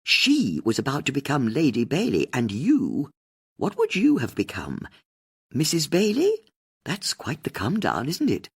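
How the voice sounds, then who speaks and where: A woman's voice: a bass voice, huge pitch swings, a little slow, slightly rough, voice pushed up, heavily acted. A woman voicing a bold, probing amateur sleuth in an audiobook, with pointed and insistent questioning. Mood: confrontational. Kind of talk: audiobook character dialogue.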